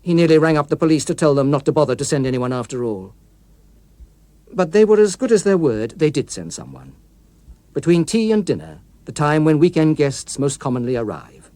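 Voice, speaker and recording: A man's voice: fairly high pitch, very animated, a little fast, mellow, no room sound. A man with a British accent narrating a scripted story as a dramatic audiobook reader.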